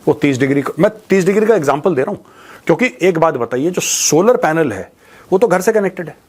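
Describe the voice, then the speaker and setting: Animated, high-pitched male commentator. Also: fairly quick, slightly rough. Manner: mocking, colloquial, rhetorical questions, mixes in English; repeats phrases for emphasis. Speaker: host of a news commentary show. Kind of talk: opinionated informal monologue to viewers on current affairs.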